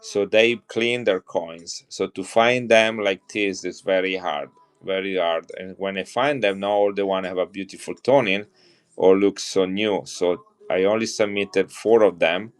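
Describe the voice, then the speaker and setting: A man's voice: sits low, fairly even intonation, measured pace, smooth. A middle-aged man from Italy with an Italian accent, chatting enthusiastically to viewers as he shows and sells coins on a live stream. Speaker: coin seller on a live stream. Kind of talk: casual live-selling chat.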